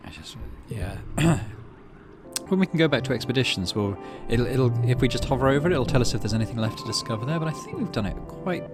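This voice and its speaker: A man doing casual, low-key game commentary and reading story text aloud as he plays. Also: lively intonation, quick pace. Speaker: gaming channel host. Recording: music behind the voice. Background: game music.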